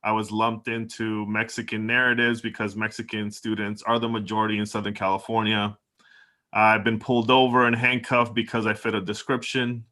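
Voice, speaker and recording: A man from El Salvador opens a panel discussion and speaks in an earnest, reflective way, as if reading from prepared notes. His voice has a bright, forward edge, and his pitch sits in the middle range. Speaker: moderator, doctoral student. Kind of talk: prepared opening remarks.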